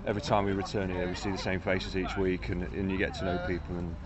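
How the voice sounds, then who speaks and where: A father talks in a calm, measured way. His voice is very deep. He is recorded outdoors with a lively crowd around him. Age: 30s. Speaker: parkrun runner, father.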